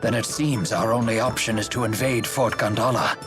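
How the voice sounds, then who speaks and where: A man's voice: mid-range voice, fairly even intonation, close to an everyday voice. Man playing a genteel older steward, music behind. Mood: grave, composed. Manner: formal, measured, genteel. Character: courteous, wise older male steward and strategist. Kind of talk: English game dub acting. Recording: game soundtrack music behind the voice. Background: background music.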